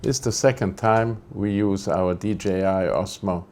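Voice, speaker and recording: A man's voice: deep voice, fairly even intonation, a little slow, darkish tone. A man from Greece, hosting a casual vlog update indoors, grumbling and rambling and correcting himself as he goes. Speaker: photography channel host. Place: indoors, air conditioning switched off.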